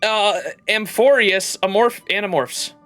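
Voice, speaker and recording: A man's voice: sits high, a little slow. A man livestreaming a game, chatting casually with viewers in slangy, jokey, profane talk. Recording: game music under the voice. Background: game music.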